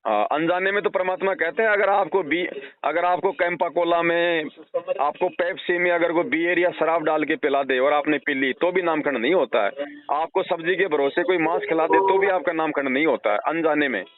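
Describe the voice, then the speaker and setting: A man's voice: fairly high pitch, moderate pace, crowd noise. A man giving informal, admonishing spiritual advice with homely analogies on a phone call. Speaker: religious counselor advising a devotee by phone. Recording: tinny narrowband phone call recording.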